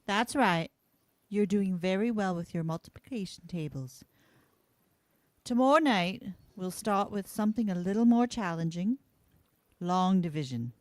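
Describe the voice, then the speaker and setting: A woman plays a kind frontier schoolteacher in a radio drama. She is earnest and quietly defiant, with a mid-pitched voice and measured delivery, recorded very dry. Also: lively intonation, no echo, lightly acted. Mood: encouraging. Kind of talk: amateur radio play acting of a TV script.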